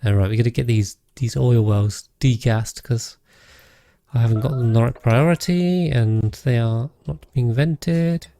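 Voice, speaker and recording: A British man with a calm, measured voice, giving casual game commentary and chatting with viewers as he streams. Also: mid-range voice, dry recording. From Britain. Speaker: live streamer, host.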